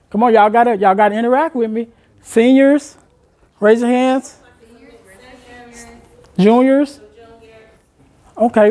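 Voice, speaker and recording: A man's voice: high voice, a little mellow. Man in his forties giving a motivational talk. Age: 40s. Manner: engaging the audience with questions, conversational and encouraging. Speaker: motivational speaker. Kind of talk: motivational talk to high school students. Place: school room with students.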